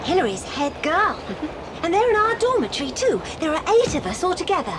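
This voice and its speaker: British schoolgirl twin, brisk, gushing, bossy, very animated, children's radio play. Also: extremely high pitch, very noisy. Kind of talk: children's audio drama.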